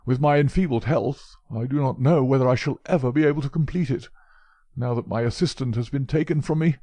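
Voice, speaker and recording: Guy doing a mincing old professor, fussy and frail, higher and louder than his normal read. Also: fairly quick, no room sound, natural voice. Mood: anxious, flattering. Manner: mincing, precise speech.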